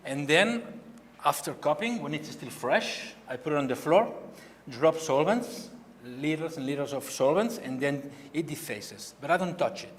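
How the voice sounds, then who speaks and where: A man from Barcelona speaking English with a Spanish accent, a painter giving a halting gallery talk who repeats words as he searches for them. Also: a little high, animated, slight background noise. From Spain. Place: gallery hall. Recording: long hall reverb, faint ambience.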